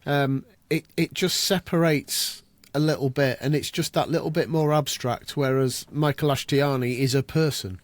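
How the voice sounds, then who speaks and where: A man guest on a casual podcast, speaking in a measured, reflective way. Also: mid-range voice, steady tone, no echo.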